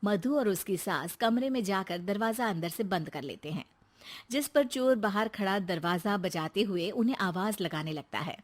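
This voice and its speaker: A woman narrates a cartoon story in an even, scripted storytelling manner. Her voice sits at an ordinary middle pitch. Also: moderate pace.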